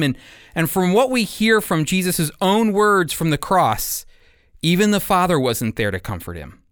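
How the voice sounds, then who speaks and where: American male radio Bible teacher, slightly high register, lively intonation, earnest scripted delivery.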